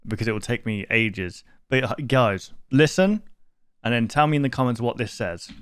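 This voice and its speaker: A man's voice: mid-range voice, normal speed, smooth. A man hosting a reaction video, commenting casually and musing aloud in a rambling way.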